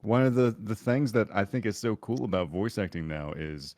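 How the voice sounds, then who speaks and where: A man with a smooth, buttery voice chatting casually as a guest on a podcast about voice acting. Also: lowish voice, animated, moderate pace, a little mellow, no echo, studio quality.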